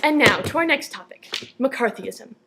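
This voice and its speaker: A woman's voice: sits high, expressive, a little slow, noisy background. A young woman reading scripted news stories as a newscast anchor. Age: young adult.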